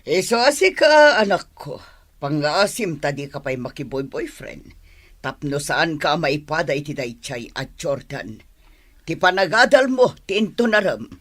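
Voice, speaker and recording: A woman's voice: deep voice, very animated, a little slow, a bit bright, exaggerated voice. A woman with an Ilocano accent playing a gossipy, cautioning middle-aged mother in an audio drama. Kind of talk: Filipino audio drama acting.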